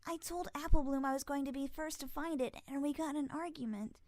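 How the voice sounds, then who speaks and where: A small orphan filly, acted by a woman, with a very high, childlike voice. She is emotional and vulnerable, a cartoon pony in a dramatic fan story, in a dry recording. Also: a little fast, a little mellow, no room sound, lightly acted. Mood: tearful, fearful. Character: young orphan filly, frightened, pleading, defiant.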